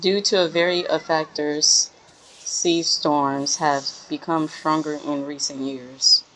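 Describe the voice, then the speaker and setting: A young woman streamer reading game text aloud haltingly, correcting herself with casual asides. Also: low-pitched, fairly even intonation, fairly bright tone, slight background noise, low-quality recording. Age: young adult. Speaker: gaming reaction streamer.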